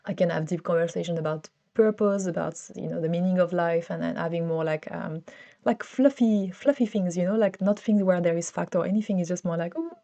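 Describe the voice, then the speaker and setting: Vlogger, woman, 30s, French accent, lowish warm tone, chatty with frequent restarts. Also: a little fast, muffled recording. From France. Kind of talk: casual solo vlog talk.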